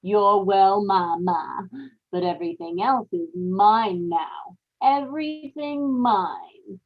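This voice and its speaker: An actress playing a guilt-haunted, murderous young wife in a brooding, confessional old-time radio horror monologue. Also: female voice, mid-range voice, takes it slowly, highs cut off. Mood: triumphant, menacing. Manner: dramatic, brooding confessional with repeated phrases.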